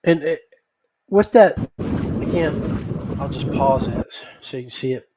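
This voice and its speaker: A man hosting a podcast, giving a casual, unscripted recap in a halting, folksy, conversational way. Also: medium pitch, noisy background. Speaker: podcast host.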